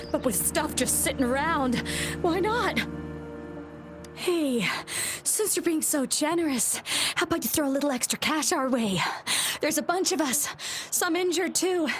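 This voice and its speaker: A high wheedling refugee thief. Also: female voice. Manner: pleading, slangy, wheedling. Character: desperate hungry refugee thief woman. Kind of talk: video game voice acting. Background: music.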